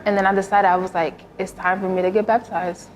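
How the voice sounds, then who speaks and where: A woman's voice: mid-range voice, flat delivery, brisk, low-quality recording. Chatty Philly teen girl over music. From Philadelphia. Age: teens. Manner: chatty, frequent "like" fillers. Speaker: student athlete sharing testimony. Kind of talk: casual personal testimony.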